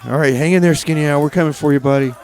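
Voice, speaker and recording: A man's voice: on the high side, a little mellow, a little hiss or hum. Rambling radio DJ man. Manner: halting, full of uh and repeated starts. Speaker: radio DJ and host. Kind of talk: rambling casual radio chat. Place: community radio studio.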